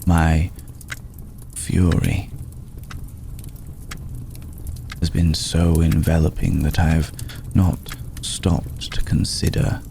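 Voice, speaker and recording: A man voicing an ancient, courtly, protective vampire lord in a hushed ASMR roleplay, speaking formally and with deliberate pauses. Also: a bass voice, vocal fry, slight background noise, exaggerated voice. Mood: remorseful, intense. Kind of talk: ASMR roleplay, slow intimate scripted monologue.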